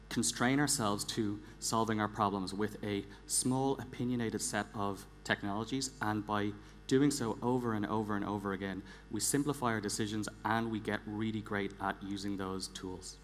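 A man speaks from a big stage with long hall reverb and a clear background hum. His voice sits fairly low, and he delivers a prepared presentation deliberately, repeating words for emphasis. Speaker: conference speaker, engineering director. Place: large theatre stage, indoors.

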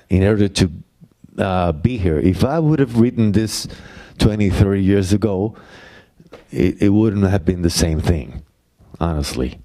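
Slow Puerto Rican man, low voice. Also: mellow. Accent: Puerto Rican Spanish. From Puerto Rico. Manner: halting, many fillers and restarts. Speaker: playwright and actor answering audience questions. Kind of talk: Q&A talk after a play. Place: university auditorium stage. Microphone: handheld mic.

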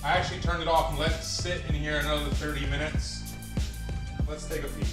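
A relaxed, joking man in his thirties talks through a cooking vlog in a large home kitchen. His voice sits a bit high for a man and reaches the camera from across the room, with a roomy echo. Music plays clearly behind him. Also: brisk, distant mic. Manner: relaxed, jokey, chatty. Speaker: cooking vlogger. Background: background music.

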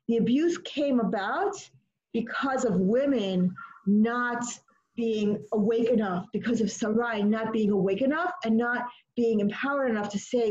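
A woman's voice: a little high, a little mellow. A woman leading an online study session, talking earnestly and repeating phrases as she thinks aloud. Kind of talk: online class discussion. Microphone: laptop microphone on a video call. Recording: dull lo-fi video call audio.

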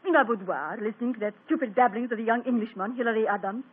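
An actress playing a haughty, temperamental hostess who speaks imperiously. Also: female voice, fairly high pitch, expressive, fast, slightly rough. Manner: imperious, exasperated. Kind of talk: 1940s radio acting. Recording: tinny old radio broadcast with music.

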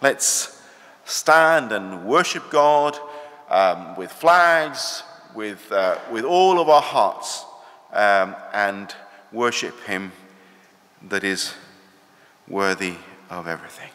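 Middle-aged english guy praying slow at a church mic, sharp bright tone. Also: lowish voice, lively intonation. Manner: slow, earnest, gesturing at lectern. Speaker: church leader. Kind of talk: spoken prayer. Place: church sanctuary. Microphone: lectern microphone. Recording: church hall reverb.

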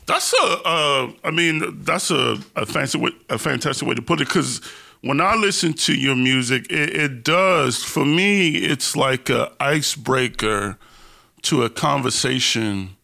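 Candid man with a bright, creaky voice. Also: mid-range voice, lively intonation, unhurried. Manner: candid, slangy, self-deprecating. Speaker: podcast host interviewing a guest. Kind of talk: casual, personal interview chat.

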